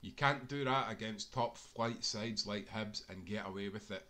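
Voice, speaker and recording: A man's voice: on the low side, moderate pace, pushed and bright. A man reading scripted narration from a football book, with an emphatic, dramatic storytelling delivery. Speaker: author-reader of a football book.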